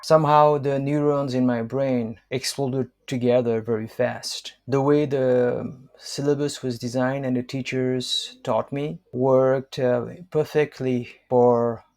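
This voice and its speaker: A man in his fifties from Nepal, whose first language is Newari, reading his own book aloud in a measured, hesitant way with frequent fillers. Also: medium pitch, fairly even intonation, a little mellow. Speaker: author and language counselor.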